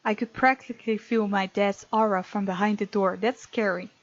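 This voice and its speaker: A woman's voice: medium pitch, steady tone, moderate pace, dull audio. A woman hosting a gaming video, expressively reading game text aloud with casual, playful commentary.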